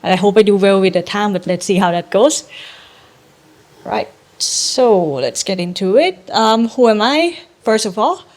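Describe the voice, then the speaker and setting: A young adult woman from Thailand, a startup co-founder giving a presentation to an audience, explaining in a measured way with frequent ums and some grammar. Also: medium pitch, lively intonation, darkish tone.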